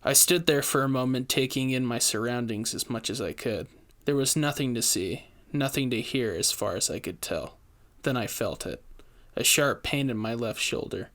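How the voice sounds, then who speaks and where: Guy reading a scary story as the main character, scripted narration, medium pitch voice. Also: moderate pace, a bit bright. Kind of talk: scripted first-person horror narration.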